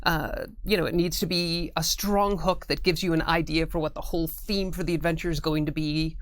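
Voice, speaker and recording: A woman's voice: low voice, steady tone, a little fast. A woman speaking as an interview guest, explaining things in casual chat with hypothetical examples. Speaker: guest, tabletop RPG adventure writer. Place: studio.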